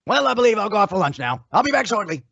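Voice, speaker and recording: A man's voice: high-pitched, big pitch swings, creaky, pushed and bright, slightly rough, no room sound, exaggerated voice. An actor playing a stern, formal male bank manager in an old-time radio western. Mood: stern, guarded. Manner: formal, brisk. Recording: dull lo-fi audio.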